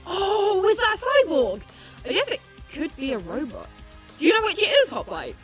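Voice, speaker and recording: Chirpy oddball teen guardian, high and swooping, music underneath. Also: female voice, sing-song, moderate pace, a bit bright, full character voice. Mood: curious. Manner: curious, perky. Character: quirky chirpy teenage hero, cheerfully sincere. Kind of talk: superhero audio drama acting.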